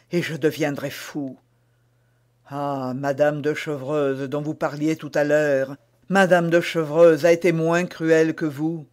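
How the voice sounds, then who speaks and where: A man's voice: fairly high pitch, darkish tone. A man reading aloud as an ardent, lovestruck nobleman who speaks fervently and fatalistically. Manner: fervent, declamatory.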